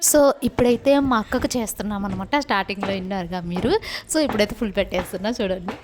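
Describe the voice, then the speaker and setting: Casual female vlog host, mid-range voice, talks rapidly straight to viewers. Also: medium pitch, noisy background. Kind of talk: casual vlog narration of prank calls.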